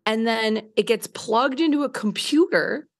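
A woman in her thirties, hoarse from a recent illness, telling a story on a podcast in a casual, filler-heavy way, laughing often. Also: a little high, measured pace. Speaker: podcast co-host. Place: home living room by windows. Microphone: large studio mic on video call.

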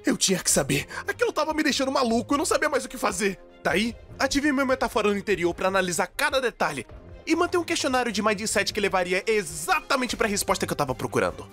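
Animated young Brazilian man telling stories. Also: high-pitched, quick pace, faint music behind. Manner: exaggerated, expressive delivery. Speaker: dubber narrating an animated story. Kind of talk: scripted comedic storytelling narration.

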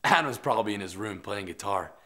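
A man's voice: fairly low pitch, expressive, quick pace, bright, forceful tone. A man in his thirties doing an upbeat, scripted comedic product presentation in a salesman's delivery. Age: 30s.

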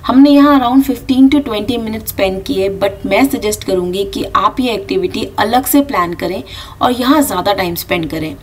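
A woman with an Indian accent narrates a travel vlog, offering advice in an even, middle-pitched voice. She sounds a little distant from the mic, with a faint hiss underneath. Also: normal speed. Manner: tips-giving, mixes Hindi and English. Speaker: vlogger narrating.

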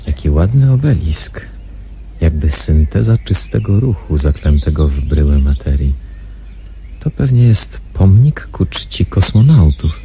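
Secret investigator, low voice, dark soft tone, suspicious, musing, radio drama. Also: male voice, a little hiss or hum, full character voice. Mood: watchful, puzzled. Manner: brooding, questioning, thinking aloud. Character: suspicious male secret investigator posing as a patient. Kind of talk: radio drama acting with inner monologue.

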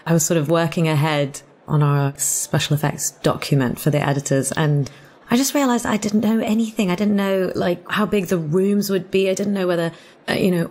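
A woman's voice: fairly low pitch, a little mellow. A woman talking casually and reflectively in a conversational discussion. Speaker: audio drama director.

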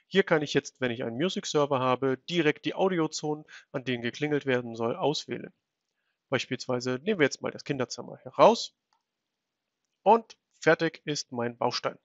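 A man in his thirties narrating an instructional software walkthrough, explaining step by step as a tutorial presenter. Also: a little high, no room sound, lo-fi sound.

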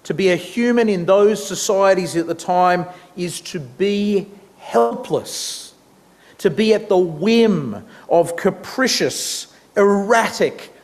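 An Australian man in his thirties preaches at a measured, unhurried pace, his voice sitting high. He speaks with animated emphasis into a stand mic. The hall around him rings with a long reverb. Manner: animated, big hand gestures while preaching. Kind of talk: sermon. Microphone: stand mic at a lectern.

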